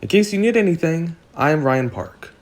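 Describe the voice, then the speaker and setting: A man's voice: on the high side, expressive, moderate pace. A man playing a welcoming adult weather office worker in an amateur audio drama.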